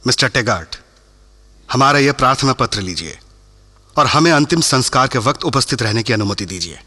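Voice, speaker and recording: An earnest, forceful nationalist leader. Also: male voice, medium pitch, lively intonation, fairly quick. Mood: firm, earnest. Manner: earnest, insistent. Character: firm, dignified Indian nationalist leader. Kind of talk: radio play acting.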